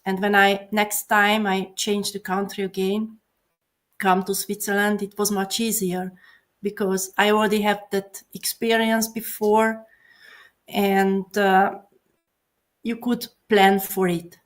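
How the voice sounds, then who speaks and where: Slow-speaking woman. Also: medium pitch, little pitch movement. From Hungary. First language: Hungarian. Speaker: guest. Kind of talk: casual interview chat.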